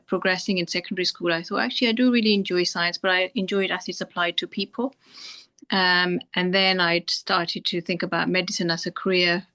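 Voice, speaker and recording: A woman's voice: lowish voice, pushed and bright. A middle-aged woman doctor from Pakistan, answering interview questions thoughtfully with frequent restarts. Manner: thoughtful, many restarts and fillers. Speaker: doctor guest.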